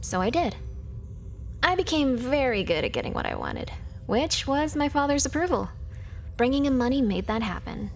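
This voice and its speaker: A woman's voice: sits high, very animated, normal speed, slight background noise. An actress playing a clever, proud young female hacker, telling a reflective story as a video game monologue. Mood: proud, wry. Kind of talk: scripted game character monologue.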